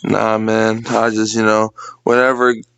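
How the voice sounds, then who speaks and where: A young man with a deep, gravelly voice, speaking easygoing as a guest on a casual livestream. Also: monotone, measured pace, crowd noise, rough recording. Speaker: co-host guest.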